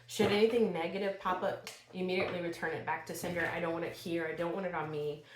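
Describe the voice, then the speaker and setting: A woman with a low voice reads tarot cards for her online viewers. She talks slowly in a spiritual tone, a little stuffy from a cold. The room has a noticeable echo. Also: fairly even intonation, slight background noise. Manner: slow, spiritual, addresses viewers directly. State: slight cold, sniffling. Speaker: tarot reader. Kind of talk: channeled tarot reading. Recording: echoey room.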